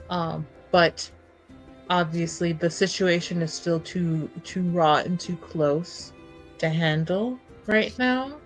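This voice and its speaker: A woman playing a tabletop game, improvising in-character conversation with hesitant, gentle suggestions. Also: lowish voice. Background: soft background music.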